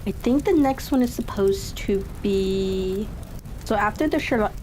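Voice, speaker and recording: A woman hosting a livestream, chatting casually and musing aloud to herself in an unhurried way. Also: mid-range voice, expressive, warm tone, a little hiss or hum, rough recording. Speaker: streamer and host of a reading session.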